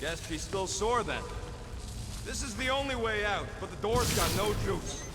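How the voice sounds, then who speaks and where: A man's voice: high-pitched, expressive, measured pace, big-room sound, loud music behind the voice. A man voicing a grouchy, sarcastic talking raccoon mercenary in a video game, snappy and griping. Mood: sour sarcasm.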